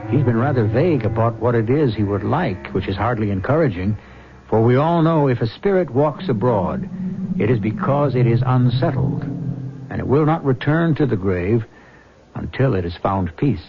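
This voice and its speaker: An actor playing a sardonic, macabre mystery host, narrating a 1970s radio drama in a wry, deliberate, theatrical way. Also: male voice, mid-range voice, expressive, noisy background, natural voice. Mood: wry, ominous. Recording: dull, lo-fi 1970s radio recording. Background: music.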